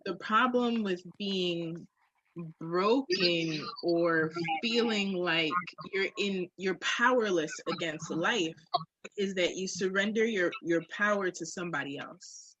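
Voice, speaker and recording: A woman's voice: lowish voice, takes it slowly, a bit bright, no echo, muffled recording. A woman co-hosting a candid podcast conversation, speaking in a reflective, emphatic and informal way. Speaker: podcast co-host.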